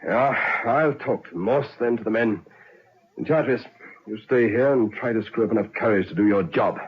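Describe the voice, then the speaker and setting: An actor playing a stern, formal prison governor with a commanding manner in a vintage radio drama. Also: male voice, fairly low pitch, normal speed, lightly acted. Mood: brisk, commanding. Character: stern male prison governor, official and authoritative. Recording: dull, echoing, hissy old radio recording.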